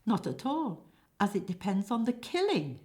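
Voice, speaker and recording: A woman's voice: mid-range voice, very animated, moderate pace, a little mellow, voice pushed up, natural voice. A woman voicing a brash hired killer in a comic stage play, punching key words with a salesman's delivery. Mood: businesslike, salesy.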